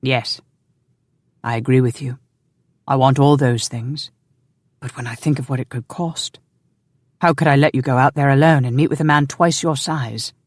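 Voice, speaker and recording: A narrator plays a loving husband in a historical romance audiobook, commanding and easily exasperated. The voice sits at a middle pitch with a stern, sardonic edge. It is recorded very dry. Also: steady tone, normal speed, pauses often, dry recording, close to an everyday voice. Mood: firm.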